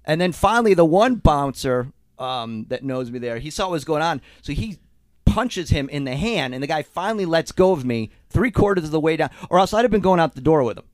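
A man's voice: on the high side, a little fast, no echo. A man co-hosting a podcast from a studio, a bit sick and congested. He tells a story with lots of rise and fall in his voice, laughing as he goes. The sound is close and dry. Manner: animated storyteller, laughs while telling. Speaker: co-host. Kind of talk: casual storytelling chat. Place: recording studio. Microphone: studio mic.

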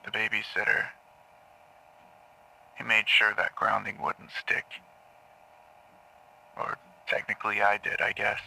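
A haunted therapy patient in an audio drama. His low voice is gravelly and very sharp, as if played back from a cassette tape. He speaks in a halting, deliberate way, recounting childhood torment. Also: monotone, exaggerated voice, poor audio. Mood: bitter regret. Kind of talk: audio drama monologue on taped therapy session. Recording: old cassette tape playback.

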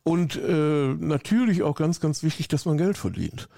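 Rhineland guy going on and on, lots of ähs, low gravelly tone. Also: big pitch swings, moderate pace, mellow, no echo, studio quality. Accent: Rhineland German. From Rhineland, Germany. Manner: effusive, rambling, many fillers. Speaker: interview guest, coach. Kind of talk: casual interview.

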